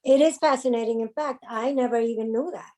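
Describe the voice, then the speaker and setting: A woman from the South Bronx with a light Spanish-tinged New York accent, talking in a mellow, warm tone. She speaks earnestly and haltingly, often pausing on ums and repeating herself as she searches for words. Spanish is her first language. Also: a little high. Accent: Spanish-influenced New York. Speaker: domestic violence advocate, guest. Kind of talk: interview conversation.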